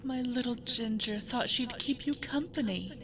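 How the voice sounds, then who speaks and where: A woman's voice: on the high side, normal speed, slight background noise, close to an everyday voice. A woman playing an eerie coaxing wife. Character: cheerful wife in a memory, eerie.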